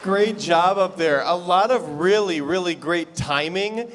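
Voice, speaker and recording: A man's voice: high-pitched, unhurried, a crowd in the background, noisy background. A man giving casual feedback to performers as an adjudicator in a reverberant hall. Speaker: festival adjudicator.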